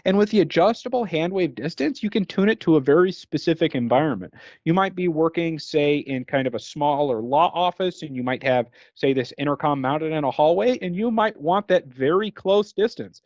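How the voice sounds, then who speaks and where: Higher-voiced man in a dull, dry recording. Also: a little mellow, dry recording. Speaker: product presenter and trainer. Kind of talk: explanatory product training talk. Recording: dull, band-limited recording.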